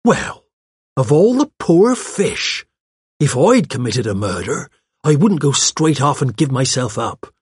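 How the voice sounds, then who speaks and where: A man's voice: medium pitch, very animated, unhurried, husky, no room sound, clean studio sound, pitched above the performer's own voice, exaggerated voice. A man voicing a chatty, arch young woman with a gushing, pert, colloquial way of talking, as in an audiobook. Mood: surprised, scornful. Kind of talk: audiobook character voice.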